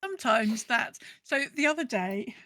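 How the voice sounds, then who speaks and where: Woman, fairly high voice, very dry sound, casual podcast host. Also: very animated, moderate pace, a bit bright, no echo. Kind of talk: casual chat.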